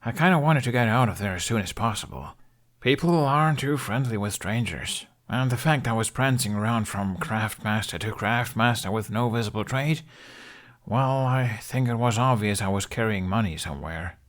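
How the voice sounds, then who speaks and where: A man's voice: on the low side, moderate pace, fairly bright tone, lightly acted. A man voicing an easygoing, teasing young male traveler in an audiobook, casual and brief in his replies.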